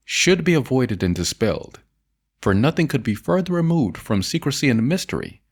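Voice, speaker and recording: A man narrating an audiobook of an old self-help essay in a solemn, deliberate manner, stressing phrases and drawing out their endings. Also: lowish voice.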